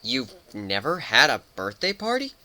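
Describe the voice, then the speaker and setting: A man's voice: mid-range voice, wildly swooping pitch, moderate pace, sharp tone, full character voice. A man playing a grumpy young male pegasus pony who mumbles and protests, in a cartoon fan fiction reading. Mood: curious.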